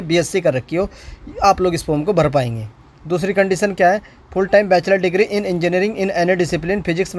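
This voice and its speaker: A man giving an informal explainer as an exam-prep instructor, repeating points and posing questions to himself. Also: sits high. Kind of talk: informal explainer on a recruitment notice.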